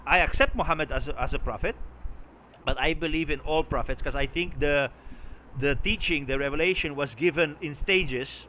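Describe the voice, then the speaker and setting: A man's voice: a little high, normal speed, bright, forceful tone, highs cut off, a little hiss or hum. A man from Romania in a casual conversation, often stammering and repeating words as he searches for them. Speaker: vlogger chatting with a stranger.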